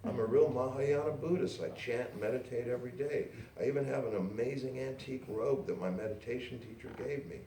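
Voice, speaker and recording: Brash, gushing woman for a story reading played by a man, lifted pitch, roomy distant sound. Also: fairly quick, hardly any pauses, dark tone, lightly acted. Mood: gushing, earnest. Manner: emphatic, breathless insistence, calls people dearie. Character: brash, excitable woman small-press publisher, proud and gushing. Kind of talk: dialogue in a live literary reading. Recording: roomy, distant live recording with noise.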